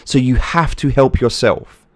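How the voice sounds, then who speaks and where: A man's voice: mid-range voice, a little slow, dry recording, studio quality. A British man hosting a livestream, answering chat by name and making earnest, repetitive points about helping others. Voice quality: soothing voice. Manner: earnest, preachy, repeats points for emphasis; reads viewer names from chat and answers them. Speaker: livestream host.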